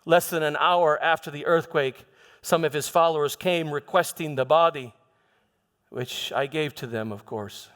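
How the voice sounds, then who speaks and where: A man's voice: a little high, a bit bright, natural voice. A man playing a troubled Roman governor in a theatrical monologue, stammering and defensive as he justifies himself. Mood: uneasy recounting. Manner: halting, stammering, self-justifying, emphatic. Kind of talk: theatrical church monologue, first-person dramatization. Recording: long reverberant echo of a hall.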